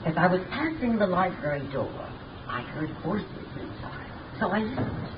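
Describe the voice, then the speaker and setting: A woman's voice: fairly low pitch, big pitch swings, normal speed. An actress playing a nervous, deferential housekeeper in a 1930s radio drama, stammering through her answers. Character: nervous middle-aged female housekeeper. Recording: muffled noisy 1930s radio recording from a distance.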